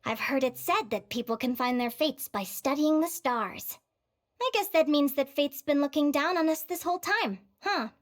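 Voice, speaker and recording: A woman voicing a cheerful, encouraging young female adventurer in a video game, with a perky, expressive delivery. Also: sits high, moderate pace, natural voice. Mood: sleepy, reflective. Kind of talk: animated video game voice acting.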